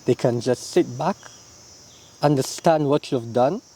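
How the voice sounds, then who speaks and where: A young man from Uganda, answering interview questions in a relaxed, reflective way, often tagging his lines with "yeah?" and "you know?". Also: mid-range voice, a little slow, slight background noise. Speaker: interview guest, illustrator.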